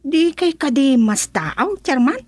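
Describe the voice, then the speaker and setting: A woman's voice: high voice, lively intonation, takes it slowly, a little mellow, no echo. A woman playing a gossipy, slyly suspicious village woman in a comedic radio drama. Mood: curious.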